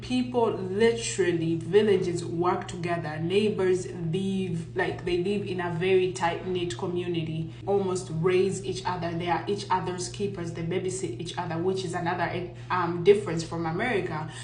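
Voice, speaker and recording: A young woman from Uganda talking casually to camera, explaining and reflecting with frequent pauses. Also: lowish voice, fairly even intonation, darkish tone, reverberant, slight background noise, rough recording. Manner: reflective, explanatory, pausing often. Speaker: content creator. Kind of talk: casual talk to camera on culture. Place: outdoors.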